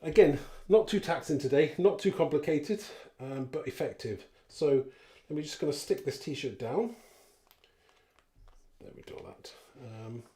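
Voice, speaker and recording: A man's voice: on the high side, animated, unhurried, dark tone. A man giving a casual live craft demonstration, talking informally and thinking aloud as he works. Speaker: card making demonstrator. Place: indoor craft room. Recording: roomy echo, clear background noise, clicks.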